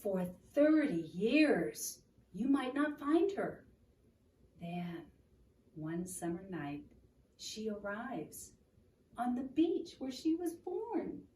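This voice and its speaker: A woman reading a children's book aloud in an expressive, deliberate storytelling manner, in a roomy, noisy recording made at a distance from the microphone. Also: fairly high pitch. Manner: expressive, deliberate, asking the listener questions.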